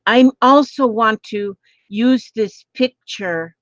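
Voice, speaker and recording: A woman hosting a live crafting stream, talking softly with her viewers and gasping over pretty papers. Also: fairly high pitch, slow, dry recording, highs cut off. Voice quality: gentle voice. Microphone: phone.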